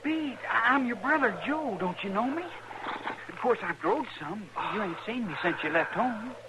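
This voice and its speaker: Kid brother on a frontier farm, radio drama. High register, gentle, unsure, rural Western American accent, noisy vintage sound. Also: male voice, lively intonation, moderate pace. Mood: wary, uneasy. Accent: rural American West. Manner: hesitant, earnest, plain-spoken. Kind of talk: old-time radio western acting. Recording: hissy vintage radio broadcast.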